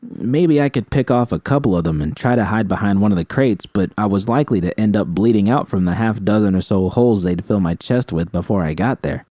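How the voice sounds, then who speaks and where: A man narrates a scripted first-person story. His voice is deep and somewhat dark. The recording is dry and close, like an audiobook. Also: expressive, a little fast, dry recording.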